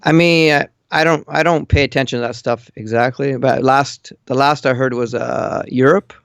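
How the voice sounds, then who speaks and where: A man's voice: mid-range voice, steady tone, normal speed, creaky, smooth, dry recording, studio quality. A man calling in over an online voice call, chatting casually with blunt, matter-of-fact opinions. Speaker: caller living in Japan. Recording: muffled lo-fi internet call.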